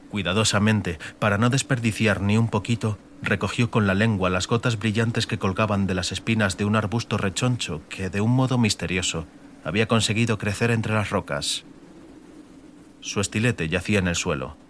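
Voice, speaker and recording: A man reading scripted dramatic audiobook narration in a measured, literary manner. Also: fairly low pitch, fairly bright tone. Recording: faint ambient noise behind.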